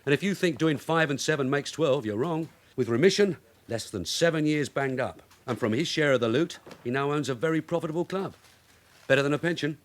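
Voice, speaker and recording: An actor playing a shrewd veteran detective sergeant, wry and blunt, in a naturalistic radio drama. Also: male voice, on the high side, fairly quick, natural voice. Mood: brisk, wry.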